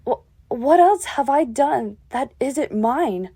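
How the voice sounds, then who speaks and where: A woman voicing a moody, lost young female fox creature in an animated comic dub, with a hesitant, emotional, slightly whiny delivery. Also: high voice, takes it slowly, husky, no room sound, studio quality, heavily acted. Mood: confused.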